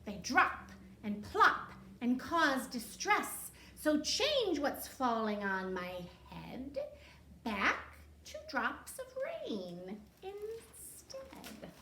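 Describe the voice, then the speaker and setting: Storybook witch acted by a woman, high swooping singsong incantations, drawn out and commanding. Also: wildly swooping pitch, very slow, pushed and bright, full character voice. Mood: theatrical, commanding. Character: dramatic young witch chanting rhyming spells. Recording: roomy echo, faint background noise.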